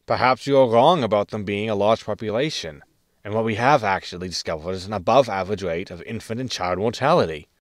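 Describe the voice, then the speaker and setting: A man playing a gruff, roguish spaceship captain in a sci-fi comedy audio drama, curt, wry and easily irked. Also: lowish voice, fairly quick, no echo, studio quality, close to an everyday voice. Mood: impatient.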